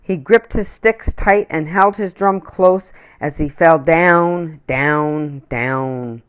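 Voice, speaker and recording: A woman reading a children's picture book aloud in an expressive storytelling manner. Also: sits low, slow pace, smooth.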